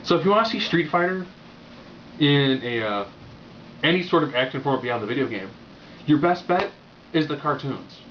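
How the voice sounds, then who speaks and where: A man's voice: fairly high pitch, expressive, moderate pace, pauses often. A man giving a casual, opinionated video review in a wry, rambling, unscripted way. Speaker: movie reviewer. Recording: muffled lo-fi audio with background noise.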